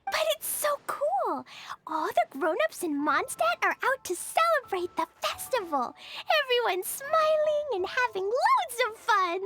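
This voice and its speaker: Bubbly little kid girl in a video game, super high childlike voice, earnest, faint music. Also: fairly bright tone, heavily acted. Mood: excited. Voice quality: small, childlike. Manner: earnest, eager, guileless. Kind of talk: video game cutscene acting.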